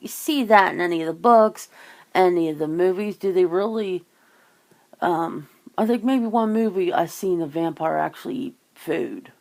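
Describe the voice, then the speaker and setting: A woman's voice: low-pitched, vocal fry, darkish tone. A woman in a casual, rambling chat, musing informally as she hosts. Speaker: ASMR channel host chatting with a guest.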